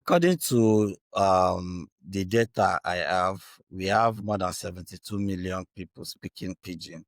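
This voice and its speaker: A man's voice: deep voice, unhurried, few pauses, dry recording. A man from Nigeria with a Nigerian accent and Igbo as his first language, explaining earnestly in conversation and often checking in with small tags. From Anambra State, Nigeria. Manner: earnest, checks in with tags like "right" and "you know". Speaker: interview guest, Bitcoin educator.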